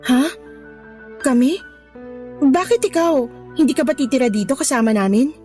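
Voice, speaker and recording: A sweet, money-minded kept girlfriend played by an actress in a Filipino radio drama. Her high voice wheedles and placates in a clingy way, with faint music underneath. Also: animated, normal speed, darkish tone. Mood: sweet, coaxing. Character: pregnant young mistress, coaxing, sweet, money-minded. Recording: music bed under the dialogue.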